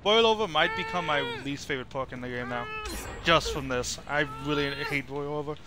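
A man's voice: fairly high pitch, a little fast, crowd noise. A young man giving casual, rambling, opinionated live commentary as he streams a video game. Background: horror video game sound.

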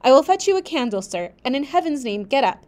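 A woman's voice: sits high, animated, moderate pace. A woman reading aloud, voicing an earnest young governess who speaks urgently but politely.

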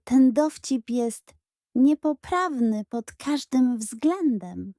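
A woman's voice: high-pitched, animated, extremely slow, mellow, dry recording, studio quality, heavily acted. A woman voicing a dignified, strict schoolteacher with a pedantic, correcting manner in a children's story. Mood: unimpressed. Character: dignified, strict female Polish teacher, exacting about rules.

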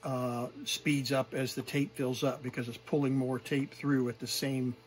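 A middle-aged man presenting from home, showing vintage gear in an unscripted, halting, informal and appreciative way. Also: medium pitch, level tone. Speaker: vintage tape recorder collector and presenter. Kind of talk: unscripted hands-on demonstration.

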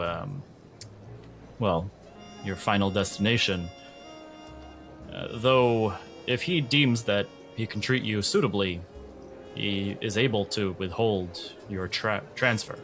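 A man acts out a bureaucratic handler for a tabletop podcast. His voice is a bit low, detached and hesitant, with soft music underneath. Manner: hesitant, matter-of-fact. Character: detached, bureaucratic male escort handling a patient transfer. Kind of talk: tabletop roleplay in character.

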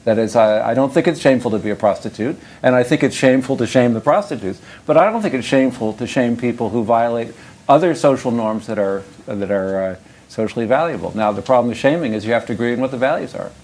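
An older man giving an academic lecture. He talks in a loose, conversational way, drifting into asides and dry jokes at a medium pitch. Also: fast talker, a little mellow. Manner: conversational, digressive, wry asides.

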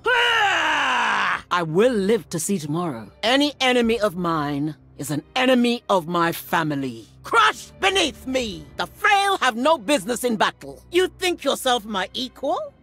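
A proud, middle-aged warrior matriarch commands with imperious menace. Her voice is husky and rough-edged, swinging widely in pitch as she delivers each line slowly. It is theatrical video game acting, ruthless and grand. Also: very animated, fairly bright tone, heavily acted. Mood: fierce, commanding. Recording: music under the voice.

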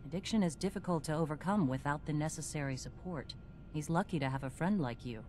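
A woman playing a composed, kindly doctor in a video game, measured and reassuring. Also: sits low, very noisy, natural voice. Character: composed, kindly professional female vault doctor. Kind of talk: video game dialogue acting. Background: loud game music.

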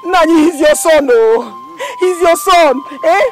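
High-voiced anguished Nigerian mother. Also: measured pace, over music. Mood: pleading protest. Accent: Nigerian, Igbo. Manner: wailing, repetitive pleading. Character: anguished, pleading Igbo mother and wife, fiercely protective. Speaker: actor. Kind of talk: film drama acting.

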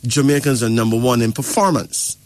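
A man's voice: mid-range voice, a little fast, dry recording. Lecturing man, dry sound. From Barbados. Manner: lecturing, keeps checking with "right?" and "okay?". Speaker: spiritual advisor. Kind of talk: casual advice talk.

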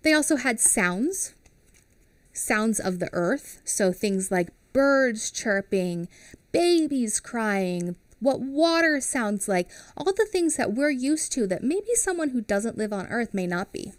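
A woman's voice: a little high, no room sound. A woman in her thirties reads a picture book aloud to young children, her voice bounding up and down as she explains each page in simple words. She speaks into a headset mic in a small home studio, and the sound is close and dry. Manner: animated and expressive, explaining slowly to young kids. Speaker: teacher reading aloud to children.

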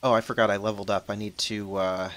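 A man's voice: lowish voice, level tone, fast. A young man of twenty-one talking through a game he plays, rambling and chatty, cursing now and then at what happens on screen. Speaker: gaming channel host. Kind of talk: casual let's-play commentary. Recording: faint background noise, voice drops out when soft.

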